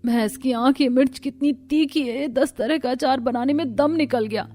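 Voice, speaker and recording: A woman's voice: high-pitched, moderate pace, dark tone, no echo, clean studio sound. A woman voicing a put-upon young daughter-in-law in a cartoon, complaining in sarcastic, exasperated asides. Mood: dismayed. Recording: music bed under the voice.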